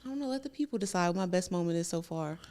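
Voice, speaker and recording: A woman's voice: fairly low pitch, lively intonation, rapid-fire, darkish tone. Hesitant young woman on a podcast. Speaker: podcast guest. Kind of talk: casual podcast chat.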